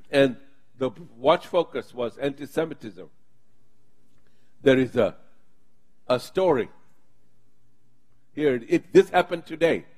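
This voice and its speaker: A man's voice: mid-range voice, expressive, slow, pauses often, a little mellow. A man preaching and leading his congregation in prayer, speaking fervently and with emphatic bursts. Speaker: pastor.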